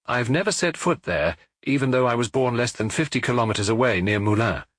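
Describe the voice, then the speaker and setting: A man reading as a gruff, weary detective. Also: medium pitch, lively intonation, a little fast, dry recording, clean studio sound, lightly acted. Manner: gruff, terse, reluctant. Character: gruff middle-aged male police detective.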